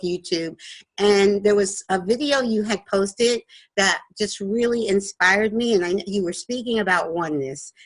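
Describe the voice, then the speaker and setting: Female host, mid-pitched, earnest and eager; online radio interviewer. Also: a bit bright. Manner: earnest and enthusiastic, with scripted-sounding openings.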